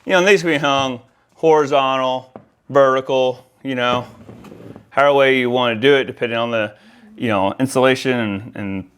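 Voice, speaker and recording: A man's voice: medium pitch, fairly bright tone, clear, resonant tone. A man presenting a product demonstration in a casual, explanatory way, speaking directly to viewers. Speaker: presenter for an audio-video installer.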